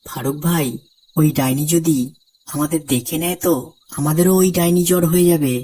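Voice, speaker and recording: Cartoon: anxious older village man, very low, mellow and breathy. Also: moderate pace. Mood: hushed. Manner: anxious, scolding. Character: worried older village man, uncle figure.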